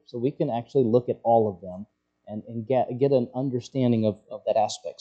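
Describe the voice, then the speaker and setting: A man preaching an expository sermon, working through scripture as a teacher would, often halting as he searches for words. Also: medium pitch, mellow.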